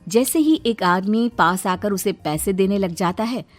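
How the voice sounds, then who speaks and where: A woman's voice: mid-range voice, a little slow. A woman narrating a scripted children's story as storyteller.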